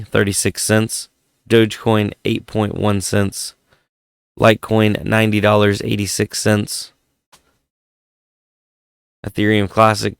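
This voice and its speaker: Casual vlog host, male, lilt, low and gravelly, voice fading from a cold, dry close sound. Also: no echo. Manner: laid-back, rambling, thinking aloud. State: losing his voice from a head cold. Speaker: tech channel host. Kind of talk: casual commentary on hardware.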